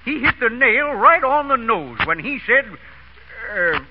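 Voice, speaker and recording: Blustery folksy Westerner, American Western accent, high voice, old radio comedy, hissy recording. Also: male voice, big pitch swings, measured pace, highs cut off, full character voice. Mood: folksy, flustered. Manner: folksy, blustery, repeats words. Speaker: actor. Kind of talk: 1930s-40s radio comedy acting.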